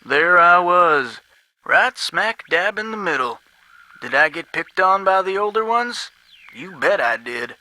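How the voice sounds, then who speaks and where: A man's voice: sits high, bright, forceful tone, no echo, exaggerated voice. An actor playing a folksy, long-winded man with a Southern US accent, rambling in a game. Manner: garrulous, drawling folksy storytelling. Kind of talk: game voice acting.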